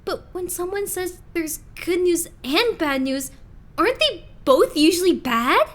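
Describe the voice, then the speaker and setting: A woman voicing an excitable, easily flustered teenage idol boy in an anime fandub, gushing and stammering. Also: very high-pitched, measured pace, exaggerated voice. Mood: wary, grumbling. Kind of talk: anime-style fandub voice acting.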